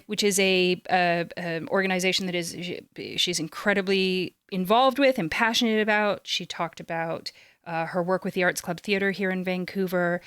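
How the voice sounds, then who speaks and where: A woman co-hosts a podcast in a conversational way. Her voice sits at a middle pitch and is recorded dry. She often stops, repeats and corrects herself mid-sentence. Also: fairly bright tone, clear, resonant tone, no echo. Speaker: podcast co-host.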